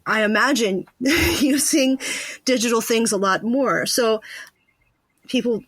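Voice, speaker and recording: A woman's voice: a little high, no echo. A woman hosting a podcast, talking in a reflective, conversational interview style.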